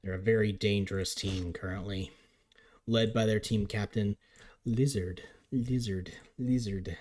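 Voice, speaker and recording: A man with a lowish voice calls a game live, chatty and upbeat as he runs through plays. His voice is turning a little raspy from long talking. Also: fairly even intonation, a little slow, a little mellow. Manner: chatty, upbeat play-by-play with frequent restarts. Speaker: league commentator.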